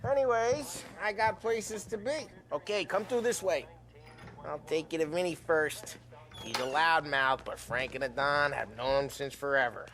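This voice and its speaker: A man's voice: on the high side, normal speed, a bit bright. A man playing a brash, streetwise mobster with a New York Italian-American accent, teasing, in a video game cutscene. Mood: confiding. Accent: New York-style Italian-American.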